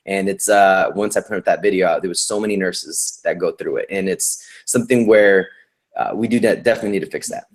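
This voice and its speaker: A man's voice: low-pitched, a little fast. A male nurse being interviewed, talking in an upbeat, slangy and encouraging way. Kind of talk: casual interview chat.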